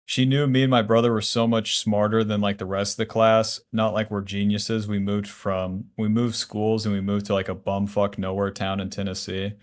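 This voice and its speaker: A man in his twenties streaming a game, talking casually and bluntly, cursing freely and answering chat questions aloud. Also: fairly low pitch. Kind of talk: casual livestream chat while playing.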